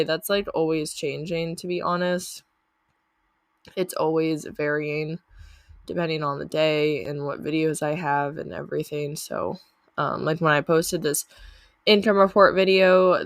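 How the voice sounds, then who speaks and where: A young woman with a low voice talks casually and chattily, padding her sentences with little fillers as she walks through her numbers. A lingering cough leaves her voice sounding slightly off. The recording is dry and close, with no room sound. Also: no echo. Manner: chatty, uses many fillers like "like" and "um". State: lingering cough after illness. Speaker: YouTuber reviewing channel earnings. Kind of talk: casual screen-share walkthrough.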